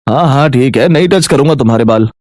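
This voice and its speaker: A man's voice: mid-range voice, fast talker, close to an everyday voice. A man playing a young husband in a storybook tale, bewildered, mild and yielding, on a noisy recording. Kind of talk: Hindi moral storybook dialogue.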